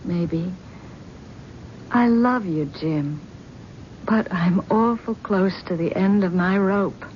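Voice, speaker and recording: An actress playing a bitter, wealthy wife with a sarcastic, needling manner in a 1970s radio drama. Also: female voice, lowish voice, slow, long pauses. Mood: weary. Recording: dull old radio broadcast with faint hiss.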